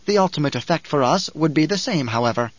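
A man voicing a coolly calculating, sly adviser in an audiobook, speaking in a precise, measured, smug manner. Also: on the high side, animated, no echo, highs cut off. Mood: analytical. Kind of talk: audiobook character acting.

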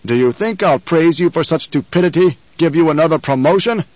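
Brief: a man voicing a scheming tyrant baron, slow, deliberate, loud menace. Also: expressive, no room sound, close to an everyday voice. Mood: menacing. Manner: slow, rumbling, roaring commands. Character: menacing obese older male baron, cruel and scheming. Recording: dull, dry studio recording.

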